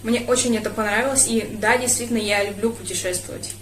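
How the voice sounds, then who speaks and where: A woman's voice: on the high side, steady tone, quick pace, roomy sound, a little hiss or hum, rough recording. A young woman from Russia answering viewer questions in a casual vlog, thoughtful and hesitant, with frequent fillers. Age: young adult. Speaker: speedcuber vlogger.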